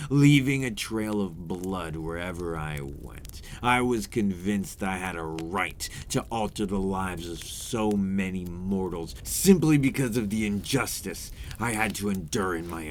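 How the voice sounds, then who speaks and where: A man playing a remorseful, brooding vampire in an ASMR roleplay monologue, deep-voiced and theatrical, with deliberate, formal phrasing. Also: expressive, slight background noise. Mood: regretful, ominous. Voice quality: deep, breathy at times. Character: remorseful male vampire, seductive, brooding and menacing.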